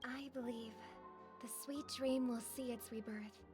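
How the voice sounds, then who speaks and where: A woman playing a gentle, courteous and hopeful young woman in a video game, speaking earnestly and diplomatically. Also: a little high, fairly even intonation, measured pace, natural voice. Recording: game audio with prominent background music.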